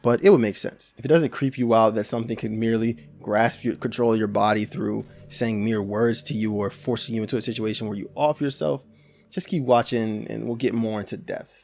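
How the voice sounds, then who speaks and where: A man's voice: fairly low pitch, steady tone, fairly quick, gravelly, a little mellow, faint music behind. A young adult man giving casual, rambling video commentary full of restarts and slang. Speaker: YouTube commentator.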